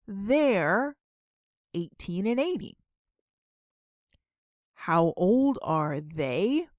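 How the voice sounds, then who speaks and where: Female language teacher, extremely unhurried delivery, dry close sound, careful enunciation of simple practice sentences for learners. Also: mid-range voice, a little mellow, dry recording. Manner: deliberate, instructional, enunciating and repeating phrases. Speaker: English teacher. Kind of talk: beginner English lesson with repeated model questions and answers.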